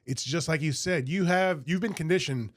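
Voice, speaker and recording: A man's voice: a little high, normal speed. A man hosting a podcast interview, friendly and enthusiastic, with many fillers and restarts. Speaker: podcast host. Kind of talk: casual interview.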